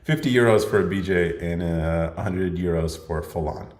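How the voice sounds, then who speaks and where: A man's voice: deep voice, normal speed, a little mellow. A young man hosting a livestream Q&A podcast, chatting casually and laughing often as he jokes. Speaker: podcast host. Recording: echoey room.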